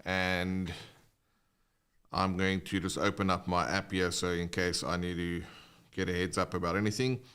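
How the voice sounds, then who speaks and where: A man's voice: low-pitched, flat delivery, normal speed, fairly bright tone, clear, resonant tone. A man with a South African accent who speaks English as a second language, hosting a casual live guitar lesson and chatting with viewers. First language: not English (English is second language). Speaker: guitar teacher, livestream host.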